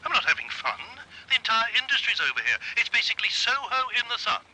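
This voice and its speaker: Radio drama: a slippery, self-pitying theatrical agent with a bright, high, lively voice. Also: male voice, fast, heavily acted, poor audio. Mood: breezy. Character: evasive, self-indulgent male theatrical agent on holiday. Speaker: actor's agent. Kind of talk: BBC radio drama acting.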